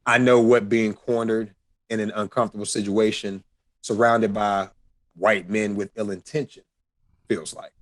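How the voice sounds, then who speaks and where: Low-voiced man on a podcast. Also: dry recording. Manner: deliberate, careful explaining. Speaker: podcast co-host. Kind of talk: casual film discussion.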